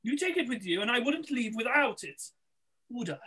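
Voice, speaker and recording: A woman's voice: fairly high pitch, big pitch swings, moderate pace, pushed and bright, slightly rough, full character voice. A woman playing a scheming, impatient male pantomime villain in a theatrical, insistent read-through. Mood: coaxing. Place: indoors at home, on a video call.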